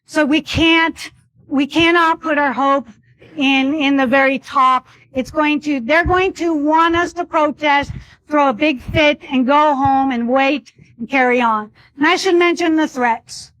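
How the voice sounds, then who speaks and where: A woman's voice: high-pitched, measured pace, fairly bright tone, poor audio. A woman speaking at a public meeting, earnestly advising the audience.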